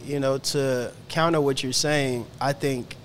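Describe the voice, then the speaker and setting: A man's voice: mid-range voice, steady tone, measured pace, a little hiss or hum. Reflective man who grew up in Ghana. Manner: reflective, tags lines with "you know what I mean". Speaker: guest, fashion creative. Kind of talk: casual podcast conversation. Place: indoor studio.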